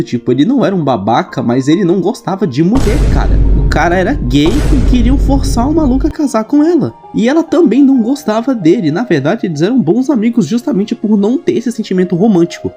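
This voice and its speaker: A young adult with a Brazilian accent explaining story lore in a casual, chatty, slangy way. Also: medium pitch. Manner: informal, slangy, animated storytelling. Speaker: video creator answering viewer questions. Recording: background music behind voice. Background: music.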